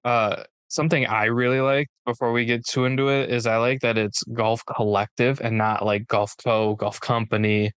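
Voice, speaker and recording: Man, podcast co-host, low smooth voice, dry close sound, jokey and chatty. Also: flat delivery, normal speed, few pauses, a bit bright, no echo. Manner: joking, chatty, loose rambling asides. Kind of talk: casual podcast chat.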